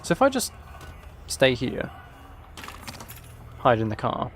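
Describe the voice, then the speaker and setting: A man's voice: medium pitch, huge pitch swings, slow pace, a little hiss or hum. A man giving casual, playful gameplay commentary, often talking to himself. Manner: playful, talks and sings to himself. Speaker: game streamer.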